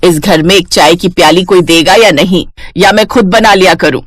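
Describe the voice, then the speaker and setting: A woman voicing a weary, complaining older mother-in-law in an animated family story. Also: lowish voice, normal speed, close to an everyday voice. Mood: exasperated, weary. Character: exasperated older mother-in-law scolding her quarrelling daughters-in-law.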